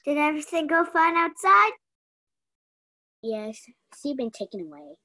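A woman's voice: sits high, big pitch swings, unhurried, bright, forceful tone, heavily acted. A young woman playing a sly, deferential accomplice in crime in a radio play. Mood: conspiring.

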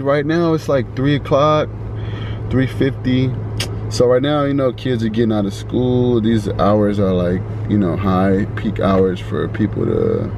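Laid-back young man vlogging from his car. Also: on the low side. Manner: laid-back, laughing, chatty. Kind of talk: casual vlog chat to camera. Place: in a car and outdoors by the sea. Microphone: camera held at arm's length. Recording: noisy car and wind background. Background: car and outdoor noise.

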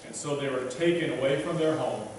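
A man's voice: medium pitch, a little fast, far from the mic, background noise. Man preaching in an echoey church. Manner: conversational, many restarts and fillers, self-deprecating. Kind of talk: casual sermon. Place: church sanctuary, at a pulpit. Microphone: pulpit microphone, filmed from a distant camera.

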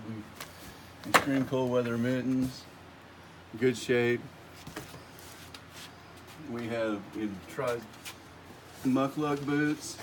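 Middle-aged man, slow unhurried delivery, casual halting inventory walkthrough, noisy phone video in a warehouse. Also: mid-range voice. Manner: casual, halting, repeats item names. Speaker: warehouse tour guide. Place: large indoor warehouse. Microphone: handheld phone camera nearby.

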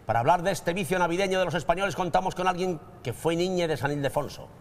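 Quick, brisk male comedy host. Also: on the high side. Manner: jokey, punchline delivery. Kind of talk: scripted comedic studio banter. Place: TV studio.

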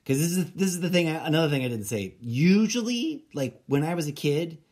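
A man who grew up speaking Spanish in Mexico, hosting a solo podcast and thinking out loud, rambling with lots of fillers and restarts. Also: fairly high pitch, animated, a little fast. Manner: rambling, self-interrupting, many fillers and restarts. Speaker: podcast host. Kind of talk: solo late-night musing podcast.

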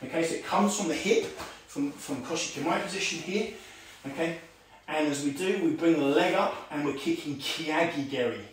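A karate instructor talks through his moves at home, higher voice, with lots of "okay"s as he explains. The voice comes from a camera set well back across a bare room, so it rings with echo under a faint hiss. He sounds like a man in his forties. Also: moderate pace, hardly any pauses. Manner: talks while demonstrating moves, calls out commands; many "okay"s and fillers, relaxed teaching tone. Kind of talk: online martial arts lesson. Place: home room with tiled floor. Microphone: far camera on a stand. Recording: echoey room, voice from far off.

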